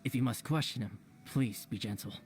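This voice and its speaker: A man playing a matter-of-fact villager who tends the sick in a video game, plain-spoken and gentle. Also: mid-range voice, brisk, a little hiss or hum, lightly acted. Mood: concerned. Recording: faint music behind.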